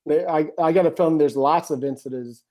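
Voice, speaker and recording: Guy talking excitedly in an interview, kinda high voice but warm tone. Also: brisk. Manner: animated, keeps saying "you know what I mean". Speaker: screenwriter guest. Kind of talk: casual conversation.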